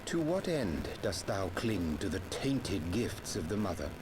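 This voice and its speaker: An actor playing a solemn, scholarly conspirator in a fantasy game, speaking in measured, formal, archaic language. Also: male voice, fairly low pitch, very animated, noisy background. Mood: grave, foreboding. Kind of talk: theatrical fantasy video game acting.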